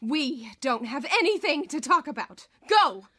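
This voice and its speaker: A woman's voice: sits high, very animated, measured pace, fairly bright tone, heavily acted. A woman acting a frightened, confused, agitated character who stammers, in an audio drama. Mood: distraught. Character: frightened, confused, distraught woman guarding her home.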